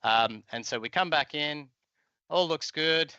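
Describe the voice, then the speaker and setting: Bright, forceful man teaching casually. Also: on the high side, a little slow, clean tone, no echo, highs cut off. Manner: informal, explanatory. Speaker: software tutorial instructor. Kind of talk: casual live coding walkthrough. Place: indoor room, video call.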